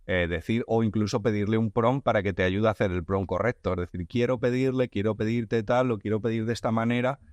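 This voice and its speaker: A man's voice: lowish voice, fairly even intonation, fairly quick, hardly any pauses, a little mellow, no echo. A man hosting a podcast interview, thinking aloud with frequent restarts and repeated words. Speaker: podcast host. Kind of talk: conversational interview.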